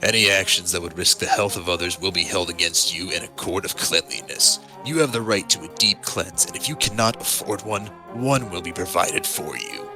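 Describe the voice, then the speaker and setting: A stern male cop acted by a man with a low voice, declaiming in a pompous, officious way. It is comedic audio drama, with music clearly playing underneath. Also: normal speed, hardly any pauses.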